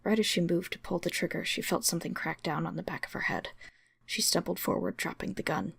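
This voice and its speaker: A woman's voice: fairly low pitch, steady tone, fairly quick, creaky, breathy edge, no echo. A woman giving a dramatic scripted narration as she reads a story. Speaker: story reader.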